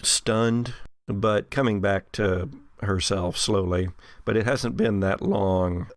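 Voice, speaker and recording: Casting: male game master narrating horror role-play, lowish voice with fry, recorded close and dry. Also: takes it slowly, no echo.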